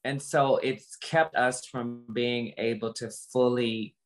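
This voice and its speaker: A woman in her fifties speaks candidly in a conversational interview. Her delivery is earnest and halting, and she circles back to repeat words for emphasis, in the manner of an informal motivational talk. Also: rumbling low, steady tone. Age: 50s. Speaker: guest.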